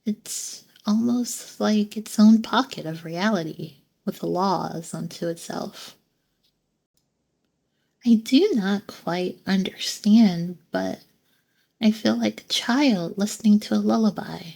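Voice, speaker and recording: A woman voicing a gentle, curious female android in an ASMR roleplay, soft-spoken and formal, with hesitant pauses. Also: lowish voice, creaky, full character voice. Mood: dreamy wonder. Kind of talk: ASMR roleplay, scripted monologue.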